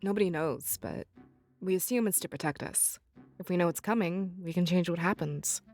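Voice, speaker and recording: A woman's voice: fairly low pitch, moderate pace, a little mellow, faint music behind. A woman voicing an earnest young seer, devoted to the spirits, in a visual novel game, explaining things with assurance.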